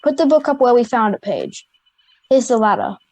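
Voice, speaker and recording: A woman's voice: a little high, expressive, moderate pace, a little mellow, low-quality recording. An Australian girl of ten or eleven playing an offhand, grumbling schoolgirl in an amateur radio play. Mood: matter-of-fact. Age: child, 10 to 11. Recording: home video-call recording.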